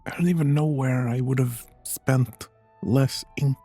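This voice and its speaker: A video game streamer giving casual, muttered off-the-cuff commentary in a low-key manner. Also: a little slow, warm tone, faint music behind.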